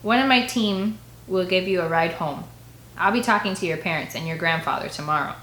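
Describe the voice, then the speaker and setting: A woman's voice: fairly low pitch, brisk, fairly bright tone, a little hiss or hum, natural voice. Woman voicing a stern father, roomy sound. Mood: authoritative. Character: stern, authoritative father, government man.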